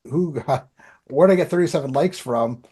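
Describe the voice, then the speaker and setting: A man's voice: a little high, normal speed, dark tone, slightly rough. A man chatting as a podcast host in an informal, loosely anecdotal, conversational way. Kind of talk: casual conversational chat.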